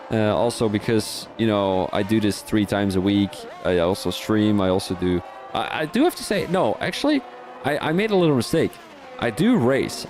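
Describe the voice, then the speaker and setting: A man's voice: lowish voice, a little mellow, slight background noise. A young Dutch man with a Dutch accent, commentating a sim racing league in a chatty, rambling way with many restarts. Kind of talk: live race commentary mixed with casual chat.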